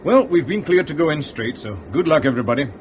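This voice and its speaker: An actor playing a composed, courteous airline captain with clipped procedural phrasing in a radio drama. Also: male voice, animated, fast talker, close to an everyday voice. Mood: calm, concentrated. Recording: noisy, with engine rumble behind.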